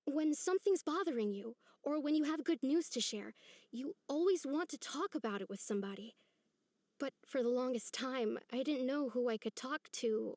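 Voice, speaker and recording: A woman playing a gentle, lonely young village woman in a video game, speaking in an earnest, soft, hesitant way. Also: fairly high pitch, no echo, close to an everyday voice. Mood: wistful.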